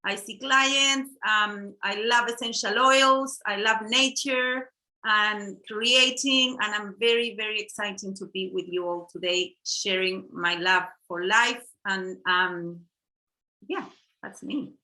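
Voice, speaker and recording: A middle-aged woman from Chile, co-hosting an online workshop over a video call in a halting, casual way with fillers and restarts. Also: medium pitch, fairly even intonation, sharp tone, rough recording. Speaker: workshop co-host.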